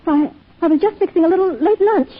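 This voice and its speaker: An American actress playing a gentle, hospitable grieving mother with homely courtesy in a 1940s radio drama. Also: very high-pitched, fast talker. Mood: welcoming. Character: gentle, hospitable middle-aged mother grieving her son. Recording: tinny old radio broadcast, faint music.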